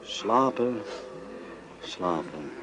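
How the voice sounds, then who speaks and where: An actor playing a harried, commanding army surgeon major in a radio drama, giving urgent orders. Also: male voice, fairly low pitch, lively intonation, extremely slow, background noise. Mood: soothing. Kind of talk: Dutch radio drama acting.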